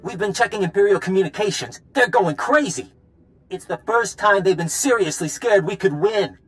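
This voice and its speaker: Spirited rebel fighter, higher male voice, upbeat, cocky, energetic, game dialogue with music. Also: moderate pace. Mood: excited briefing. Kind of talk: video game voice acting.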